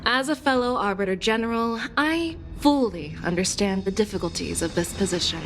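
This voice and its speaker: A woman's voice: medium pitch, moderate pace, natural voice. A woman voicing a confident, candid female general in a video game, assured, formal and articulate. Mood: serious. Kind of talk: scripted video game dialogue. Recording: game music behind the voice.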